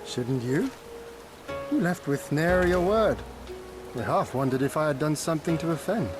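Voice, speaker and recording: A man voicing a bold, noble young rebel lord in a video game, with formal, archaic oratory over loud noise. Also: a little high, lively intonation, moderate pace, over music, natural voice. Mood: earnest. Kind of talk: dramatic video game voice acting.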